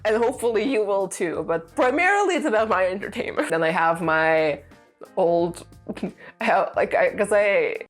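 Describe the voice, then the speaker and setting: A woman's voice: mid-range voice, lively intonation, moderate pace, faint music behind. A young woman whose first language is Lithuanian, chatting casually and laughing often as she answers a run of tag questions, with lots of "you know" fillers. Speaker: vlogger, aspiring author. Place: room with a creaky chair. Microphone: desk mic.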